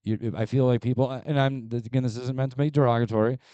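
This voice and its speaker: Mellow man arguing on a panel. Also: medium pitch, steady tone, quick pace, clear, resonant tone, no room sound, lo-fi sound. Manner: argumentative, candid. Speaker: tournament director on a panel. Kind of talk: panel discussion.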